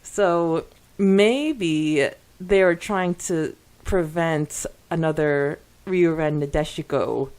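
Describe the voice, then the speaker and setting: A woman with a slightly low voice talks as a podcast co-host. She chats casually like a fan and is animated, often doubling up words for emphasis. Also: slow pace.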